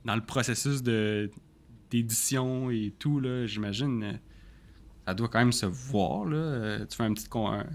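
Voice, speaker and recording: A man's voice: medium pitch, a little slow. Quebec French man, co-host, easygoing and rambly in chat. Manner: loose, rambling, colloquial. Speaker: podcast co-host. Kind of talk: casual chat about books.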